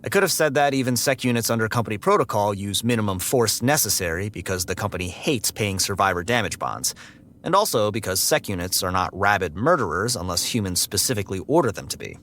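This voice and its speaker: A sardonic security android, narrates an audiobook drama in a lowish voice. The delivery is dry and guarded, yet the pitch moves around freely, with some clear ambient noise underneath. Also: male voice, fast talker. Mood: dry, matter-of-fact. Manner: deadpan, dry, sarcastic. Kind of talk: first-person audiobook narration and dialogue.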